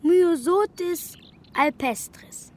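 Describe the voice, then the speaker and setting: A woman's voice: very high voice, very slow, darkish tone, full character voice. A woman playing a clever, cheeky young boy in a German radio play, answering with careful precision. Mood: thoughtful, cheeky.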